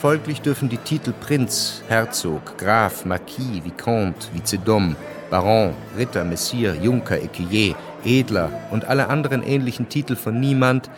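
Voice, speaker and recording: A man acting as a sober radio play narrator. He delivers each phrase in a measured, declarative way, with clear music behind him. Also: lowish voice, close to an everyday voice. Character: sober narrator reading chronicle and decrees. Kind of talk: scripted radio drama narration.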